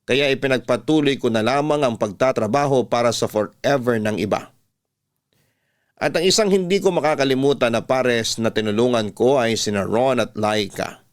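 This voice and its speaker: A man's voice: medium pitch, moderate pace. A man with a Filipino accent reading a scripted first-person story as a dramatic radio storyteller.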